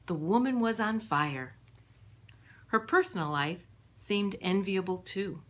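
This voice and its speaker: A woman reading her own book aloud as scripted audiobook narration, in a measured storytelling manner. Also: fairly low pitch, fairly bright tone.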